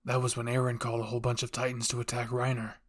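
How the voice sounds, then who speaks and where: A man's voice: mid-range voice, monotone, very fast, close to an everyday voice. A man playing a blunt, matter-of-fact military man in an anime fan roleplay.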